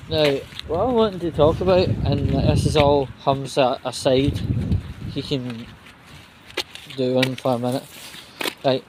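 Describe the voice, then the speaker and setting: A man giving a casual, halting and blunt walk-and-talk monologue as a vlogger, grumbling with mild swearing. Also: a little high. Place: outdoors, walking at night. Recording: clear outdoor ambience and vehicle noise.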